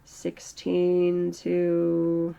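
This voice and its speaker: A woman in her early thirties talking slowly and reflectively in a personal vlog, with a strained throat. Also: fairly low pitch, monotone, gravelly, warm tone, clean tone. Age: early 30s. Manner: slow, halting, reflective. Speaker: channel host. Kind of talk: personal mental health vlog monologue.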